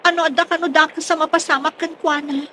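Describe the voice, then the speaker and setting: A woman's voice: sits high, steady tone, fast talker, sharp tone, a little hiss or hum. A woman playing an anxious, fretful daughter in a radio drama, with an Ilocano accent. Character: anxious daughter fretting over her missing father.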